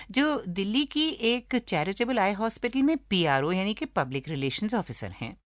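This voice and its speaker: A woman's voice: medium pitch, fairly bright tone, dry recording, studio quality. A woman with an Indian accent hosting a radio programme in a scripted presenting style. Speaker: radio show host.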